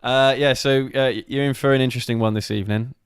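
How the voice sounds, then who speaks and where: A man's voice: mid-range voice, fairly even intonation, fast, clean tone, no echo, clean studio sound. A man co-hosting a casual motorcycle live stream with his wife, greeting viewers by name.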